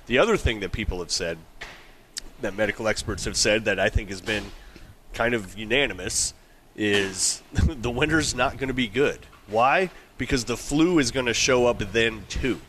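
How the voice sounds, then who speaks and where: Blunt American male sports co-host, forceful bright voice, casual and slangy, faint noise from the location. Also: on the low side, normal speed. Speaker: sports talk show co-host. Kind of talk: casual, opinionated sports chat. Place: on location at a golf tournament. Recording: noisy on-location sound.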